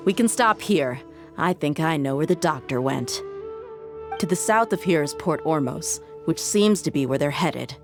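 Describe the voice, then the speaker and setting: A shrewd female mercenary in a video game. Her voice is notably low, and she sounds cool and sure of herself. Music runs clearly behind her. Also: animated, moderate pace. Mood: confident, focused. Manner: cool, assured. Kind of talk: scripted video game voice acting.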